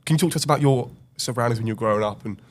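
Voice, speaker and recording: A man hosting a podcast, upbeat and asking halting, friendly questions. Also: medium pitch. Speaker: podcast host. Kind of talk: casual podcast chat.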